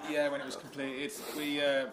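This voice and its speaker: A man with a rough voice chatting casually in an interview as the singer of a rock band. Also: medium pitch, monotone, moderate pace, over a noisy crowd. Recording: loud music behind the voice. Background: loud music.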